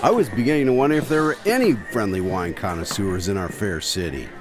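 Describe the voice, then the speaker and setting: A man's voice: on the low side, expressive, quick pace, music in the background, lightly acted. A man acting a chatty, blunt, folksy fellow who tells his own adventure in a radio drama. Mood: wary, blunt. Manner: folksy, tactless.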